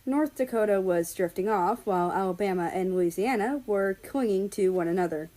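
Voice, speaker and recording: A woman's voice: medium pitch, moderate pace, mellow. Woman reading a story aloud. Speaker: fan fiction reader. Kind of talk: storybook narration with acted dialogue.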